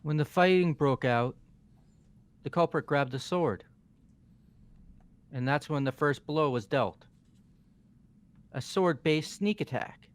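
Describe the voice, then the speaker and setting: A man's voice: fairly high pitch, takes it slowly, pauses often. A man voicing a brash, impatient teenage tough guy in a fan-dubbed mystery game, blunt and foul-mouthed. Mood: blunt, confident. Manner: blunt, gruff phrasing.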